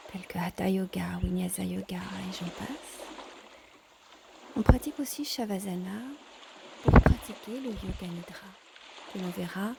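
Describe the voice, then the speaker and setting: A woman teaching yoga in a calm guided instruction style, measured, pausing between short phrases. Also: medium pitch, gravelly, very noisy.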